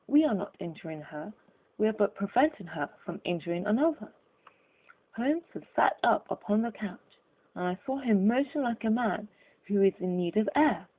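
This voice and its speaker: Casting: female audiobook narrator, medium pitch, husky tone, measured formal delivery of classic fiction, narrow telephone-like recording. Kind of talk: scripted literary narration.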